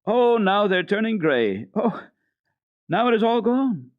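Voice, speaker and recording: A man's voice: sing-song, a little slow, narrow, tinny audio. A man putting on an eager, squealing little girl. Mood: awed excitement. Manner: breathless, exclaiming in falsetto.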